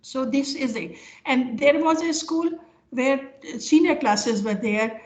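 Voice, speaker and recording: An older woman with an Indian accent giving an informal academic lecture over a video call, speaking haltingly as she explains with examples. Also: sits high, lively intonation, darkish tone. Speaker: professor giving an online lecture. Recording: dull, lo-fi online call audio.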